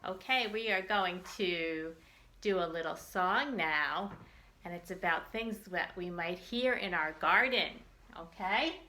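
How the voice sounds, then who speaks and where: A woman's voice: fairly low pitch, measured pace, sharp tone. A woman hosting a storytime for young children, reading aloud in simple phrases and putting questions and asides to her little listeners. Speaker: children's librarian storytime host.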